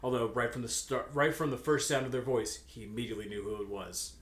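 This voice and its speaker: A man's voice: mid-range voice, fast talker, fairly bright tone. Young man reading fan fiction, echoey room. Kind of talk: comic storybook-style narration with casual banter.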